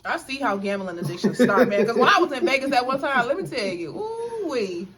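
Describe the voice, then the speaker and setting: A woman's voice: a little high, a little fast, a loud crowd. Young woman hosting a casual chat. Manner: laughing, expressive, talks to viewers as y'all. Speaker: mukbang host.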